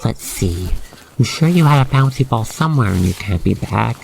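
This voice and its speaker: A man with a creaky voice reading a scripted second-person ASMR roleplay story, with gentle exclamations. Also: mid-range voice, lively intonation, normal speed, slight background noise, rough recording. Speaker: roleplay narrator.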